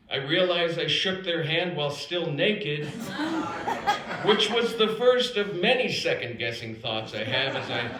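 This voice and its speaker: An American man performing a scripted comedic story on stage with theatrical, deliberate pauses and emphatic delivery. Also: high-pitched, pushed and bright, a little hiss or hum. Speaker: storyteller performing own written piece. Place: theater stage before an audience. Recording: roomy live stage recording. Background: audience laughter.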